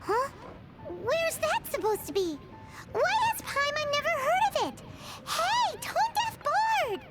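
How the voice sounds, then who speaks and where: Tiny fairy girl, squeaky and very high, lively bouncing pitch, game music loud underneath. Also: slow pace, full character voice. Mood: puzzled. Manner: squeaky, teasing, blunt. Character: small floating fairy girl companion, bossy and nosy. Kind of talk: game voice acting.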